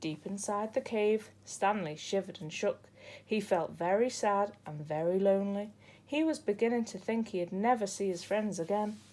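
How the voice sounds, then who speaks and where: A woman's voice: mid-range voice, moderate pace, a little mellow. A woman narrating a children's picture book as a storybook reader.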